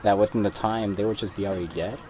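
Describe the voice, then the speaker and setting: A man's voice: lowish voice, steady tone, fast talker, mellow, slight background noise. A young man from Mexico chatting casually over his gameplay, rambling and hesitant with many fillers, on a cheap computer mic. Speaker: gaming video creator. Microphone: cheap computer microphone. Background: controller clicks.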